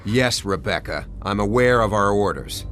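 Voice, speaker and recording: A man's voice: on the low side, expressive, a bit bright. A man playing a stern, principled navy commander who speaks formally and firmly in a military drama. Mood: controlled, stern. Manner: formal, firm, deliberate authority. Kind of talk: motion comic voice acting. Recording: dramatic music behind.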